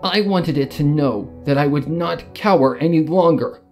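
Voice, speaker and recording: A narrator reading a fan fiction story in the first person, with solemn, dramatic delivery and pauses. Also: medium pitch, a little mellow. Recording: music under the voice. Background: background music.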